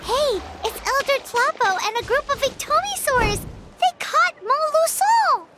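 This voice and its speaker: A woman voicing a small, excitable fairy companion with a squeaky, childlike, chirpy voice in an animated game. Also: measured pace, exaggerated voice. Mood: excited. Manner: exclamatory, chirpy. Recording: music under dialogue. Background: game music.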